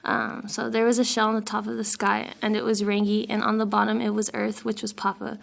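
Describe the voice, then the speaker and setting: A woman's voice: mid-range voice, completely flat delivery, fairly quick, gravelly. A young woman student reading a myth aloud for a class, hesitant, with fillers and restarts. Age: young adult. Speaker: student reader.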